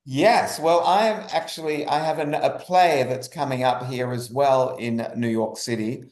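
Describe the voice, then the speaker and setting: Male playwright guest, medium pitch, enthusiastic halting conversational delivery, echoey room sound. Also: a little mellow. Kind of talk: conversational interview talk. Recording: roomy echo.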